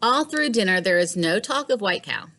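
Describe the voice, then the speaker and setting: A woman teacher reading a children's storybook aloud in a measured, animated way. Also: medium pitch, a little hiss or hum. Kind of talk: expressive storybook read-aloud.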